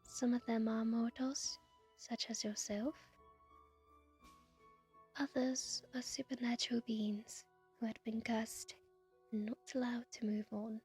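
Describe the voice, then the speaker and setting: Woman acting as breathy, gentle spirit of light. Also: a little high, level tone, faint music behind, exaggerated voice. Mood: solemn, gentle. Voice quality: soft, breathy, half-whispered. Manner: slow, measured, hushed delivery. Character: gentle female spirit of light, guardian, solemn. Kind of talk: ASMR fantasy roleplay.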